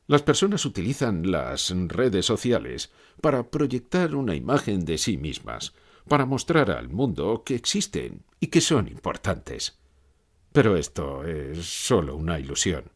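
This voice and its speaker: A man's voice: low voice, big pitch swings, unhurried, exaggerated voice. A man playing a gloomy old philosopher who gives grave, pessimistic answers in a scripted interview.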